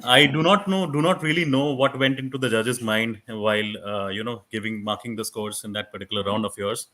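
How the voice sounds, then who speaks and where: Loud-voiced Indian man giving advice. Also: lowish voice, fairly quick. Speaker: guest expert on moot court. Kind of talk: conversational advice in an online workshop.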